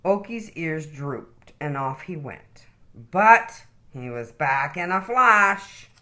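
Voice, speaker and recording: A woman narrating picture books for young children. She reads slowly and expressively, with a bright voice and a very lively range of pitch. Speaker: children's storytime reader.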